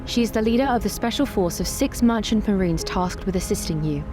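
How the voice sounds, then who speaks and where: A composed queen, played by a woman in a tabletop roleplay. She speaks formally and with measured authority, keeping her guard up. Music plays clearly behind her. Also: mid-range voice, expressive, natural voice. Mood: guarded, resolute. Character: composed, guarded, commanding young queen wary of rivals.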